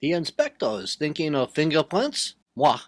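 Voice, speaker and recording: An actor playing a suave, clever detective with a French accent, speaking with formal, precise politeness in a radio mystery style. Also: male voice, on the high side, wildly swooping pitch, normal speed. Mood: courteous, intrigued. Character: suave, clever, courteous foreign male detective, unhurried and self-assured. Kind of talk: old-time radio mystery acting.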